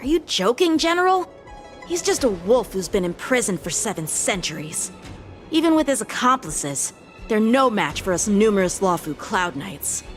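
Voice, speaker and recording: A woman acts as an earnest young female warrior in a video game. Her medium-pitched voice is bold and forthright, with faint music underneath. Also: sing-song, normal speed, close to an everyday voice. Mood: indignant. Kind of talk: dramatic video game voice acting.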